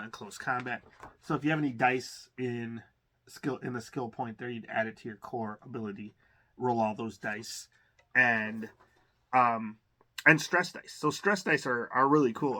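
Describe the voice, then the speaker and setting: Bright-voiced man chatting through an unboxing. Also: mid-range voice. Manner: hesitant, rambling, thinking aloud. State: under the weather, occasional cough. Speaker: host of a collector's unboxing channel. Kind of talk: casual unboxing commentary.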